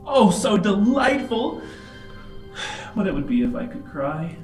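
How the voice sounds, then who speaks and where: A man's voice: sits high, big pitch swings, unhurried, darkish tone, faint music behind, pitched above the performer's own voice. A man voicing a theatrical, taunting villain in a tabletop game, with a dramatic, teasing, sing-song delivery. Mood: theatrical delight. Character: theatrical, taunting villain with a playful flair for games.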